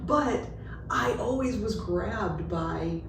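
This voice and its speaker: A woman hosting an interview, encouraging and quick to laugh as she draws her guest out. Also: medium pitch, animated. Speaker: podcast host. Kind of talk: conversational interview. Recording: roomy echo, distant mic, noisy.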